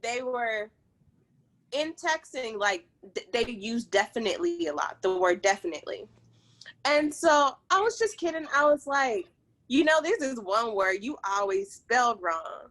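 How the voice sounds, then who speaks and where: Host donna, accento liberiano, sfogo scherzoso in videochiamata. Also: female voice, a little high, lively intonation, measured pace, fairly bright tone. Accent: Liberian English. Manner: ranting, laughing, playful. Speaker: podcast host. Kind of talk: casual group chat over a video call. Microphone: video call.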